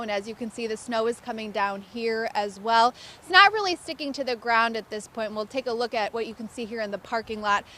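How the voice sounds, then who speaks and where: Female American field reporter, live outdoors, bright forceful voice over faint street noise. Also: on the high side. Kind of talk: live on-location news report, ad-libbed. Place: outdoor parking lot. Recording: outdoor urban background noise.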